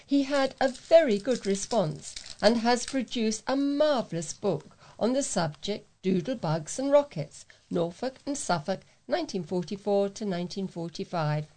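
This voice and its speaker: A woman's voice: medium pitch, animated, normal speed, few pauses, darkish tone. A woman with a mid-range voice reads from a local newspaper. She delivers a written reader's letter as a volunteer, following the text as it stands. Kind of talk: read-aloud newspaper letters.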